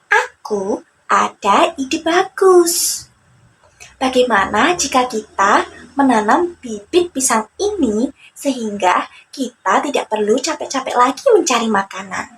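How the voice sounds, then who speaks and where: A woman's voice: high-pitched, expressive, slow, long pauses, slight background noise, voice pushed up. A woman voicing a mischievous, careless male monkey in a children's fable, whiny and full of exclamations. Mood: eager scheming.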